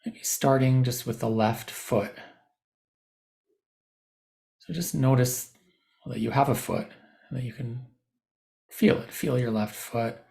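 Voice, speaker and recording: Male meditation guide, mid-pitched with heavy vocal fry, gentle informal instruction. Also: fairly even intonation, takes it slowly, pauses often. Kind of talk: guided body scan meditation, unscripted spoken instruction.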